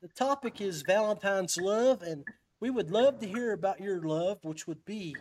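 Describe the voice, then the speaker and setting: A man's voice: sits high, moderate pace, darkish tone, a little hiss or hum. A man hosting a live podcast in casual, informal chat, with a buddy-like way of talking. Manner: informal, calls guest buddy and brother. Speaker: podcast host.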